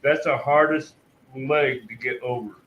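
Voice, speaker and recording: A man's voice: medium pitch, steady tone, measured pace. A man chatting casually as a streamer on a live panel, in a muffled, noisy, echoey recording. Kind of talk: casual chat.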